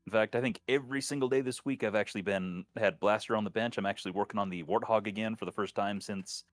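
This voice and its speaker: A man's voice: lowish voice, quick pace, dry recording, faint music behind. Host, aging man, easygoing shop-talk, dry close mic. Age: older. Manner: friendly, wry, talks shop about hobby builds. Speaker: podcast host. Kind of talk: casual podcast chat.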